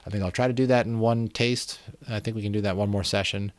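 A man in his thirties or forties giving an expressive, emphatic dramatic reading of an adventure novel. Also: fairly low pitch, fast, no room sound. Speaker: audiobook reader and channel host. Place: small room at home with bookshelves. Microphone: close desk microphone.

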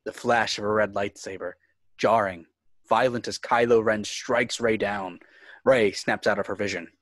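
A man's voice: on the low side, fairly even intonation, normal speed, fairly bright tone, dry recording. A man reading scripted screenplay narration, describing scenes and action. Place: indoors on a home video call.

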